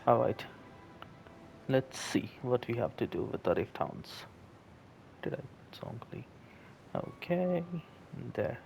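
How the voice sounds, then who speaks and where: A man talks through his gameplay in a relaxed, low-key way. His voice is slow and gravelly, and he sits back from the mic with faint noise around him. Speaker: gameplay streamer. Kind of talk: casual gameplay commentary.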